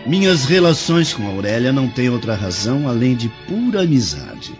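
Man playing an expressive young Roman nobleman. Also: medium pitch, normal speed, close to an everyday voice. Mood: protesting. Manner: formal, emphatic declamation. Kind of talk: radio drama acting. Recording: dull lo-fi radio drama, music behind.

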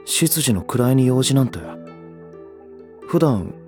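This is a sullen young heir in an audio drama. His mid-pitched voice moves widely in intonation, and he comes across as proud, guarded and curtly defensive. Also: expressive, takes it slowly, over music. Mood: wary, puzzled. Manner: curt, blunt, defensive. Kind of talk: Japanese voice drama acting.

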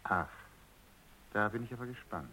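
An actor playing a skeptical, sardonic research scientist in a radio drama, wry and argumentative. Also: male voice, lowish voice, moderate pace, bright, forceful tone, a little hiss or hum. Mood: amused, skeptical. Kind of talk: German radio drama acting. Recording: muffled, dull radio drama recording.

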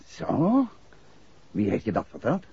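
An actor playing a calm, probing amateur detective in a 1960s radio drama, questioning briskly and with control. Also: male voice, mid-range voice, creaky, husky, no room sound, full character voice. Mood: probing, firm. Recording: muffled, noisy 1960s radio recording.

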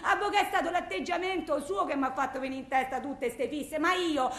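A high-pitched, distraught wife with a thick Roman way of speaking, pours out her case to her lawyer. She rambles, repeats herself and justifies everything in agitated, colloquial bursts. The radio monologue rings in an echoey room. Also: fairly quick, a bit bright. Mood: agitated, defensive. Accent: Roman Italian. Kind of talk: theatrical radio monologue, framed as phone messages. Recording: roomy, echoing sound.

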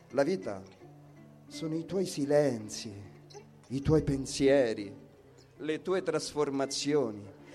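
A man recites poetry at a slow, measured pace in a mellow, fairly high voice. Plucked guitar plays softly behind his words. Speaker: poetry reader. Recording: soft guitar music behind. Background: plucked guitar music.

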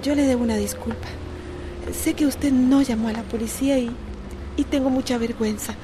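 An actress playing a timid, apologetic, hesitant wife in a Latin American Spanish radio soap opera. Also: female voice, on the high side, fairly even intonation, brisk, background noise, lightly acted. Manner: hesitant, stammering. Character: Vicky, timid, embarrassed, apologetic neighbourhood wife.

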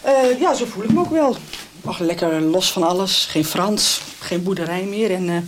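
Actress as chatty female visitor, animated mid-pitched voice, natural radio drama dialogue. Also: moderate pace, a little hiss or hum, close to an everyday voice. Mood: cheerful, relieved. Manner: chatty, hesitant. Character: chatty, relieved pregnant woman visiting friends. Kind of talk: radio soap acting.

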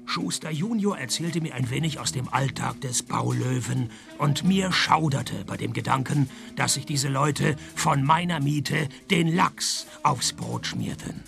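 Gravelly, deadpan cynical private eye. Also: male voice, mid-range voice, a little slow, hardly any pauses, faint music behind. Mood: dry narrating. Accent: Swiss Standard German. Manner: deadpan, sarcastic. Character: cynical, wisecracking male private detective, dryly cool. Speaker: detective and first-person narrator. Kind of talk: radio play dialogue and scripted narration.

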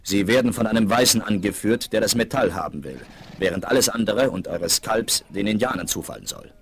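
An actor playing a composed, decisive frontier hero in a 1970s German Western radio play, speaking in a measured, purposeful way. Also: male voice, fairly low pitch, animated, gravelly, slight background noise.

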